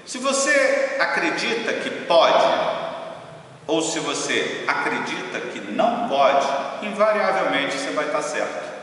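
A man, a guest sales speaker telling a motivational story with expressive swings in pitch. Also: a little slow. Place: small classroom, indoors. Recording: echoey room from far off.

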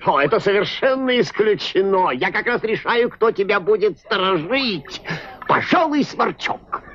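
An actor playing a jovial, self-satisfied old mushroom ruler in a dubbed cartoon. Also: male voice, high voice, lively intonation, fairly bright tone. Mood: smug, genial. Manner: chuckling, unhurried, self-satisfied. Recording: muffled old film soundtrack with music.

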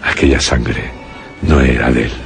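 Super deep castilian guy narrating a scary radio play, grave and measured, bit of music under him. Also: steady tone, vocal fry. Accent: Castilian Spanish. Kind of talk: suspenseful scripted radio drama narration.

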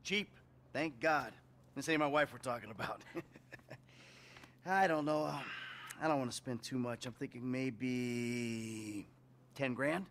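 An actor voicing a cocky middle-aged ex-robber in a video game, talking loud, blunt and wisecracking. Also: male voice, mid-range voice, takes it slowly, pauses often, a little hiss or hum. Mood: flirty.

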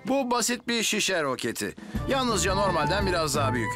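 An actor playing a reassuring, dependable firefighter hero in a children's cartoon dub, encouraging and authoritative. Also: male voice, high voice, very animated, brisk, loud music behind the voice. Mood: firm, reassuring.

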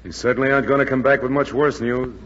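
An actor playing a stern, worried American lieutenant in a 1940s radio drama, speaking curtly and with command. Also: male voice, mid-range voice, level tone, fast talker, close to an everyday voice. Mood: grim. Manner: curt, commanding. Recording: muffled, echoey 1940s radio recording.

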